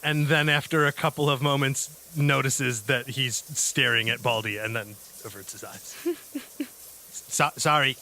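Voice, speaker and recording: A man improvising as a player on a tabletop game podcast, stammering and halting as he narrates and speaks in character. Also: mid-range voice, fairly even intonation, pushed and bright, slight background noise.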